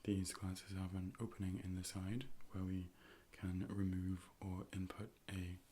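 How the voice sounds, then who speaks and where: A man speaking in a soft, measured, often whispered ASMR style, playing a calm and polite optician in a close personal-attention role play. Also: low voice, a little hiss or hum. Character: calm, polite robot A.I. optician conducting an eye exam. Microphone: close ear-to-ear stereo microphone.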